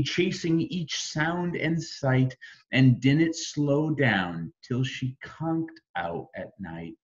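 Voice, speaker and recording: American male storyteller for rhyming kids' books, highly expressive intonation, deliberate and slow, somewhat muffled sound. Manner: dramatic emphasis, deliberate phrasing. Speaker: storybook reader. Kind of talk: expressive children's bedtime story reading in rhyme.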